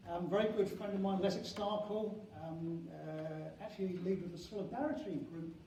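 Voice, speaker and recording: An older man giving an academic conference lecture as a professor, halting and restarting phrases, with emphasis on key words. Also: high voice, dark tone. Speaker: university professor and head of geography school. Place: large echoing conference venue indoors. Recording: very echoey hall, distant mic, faint noise.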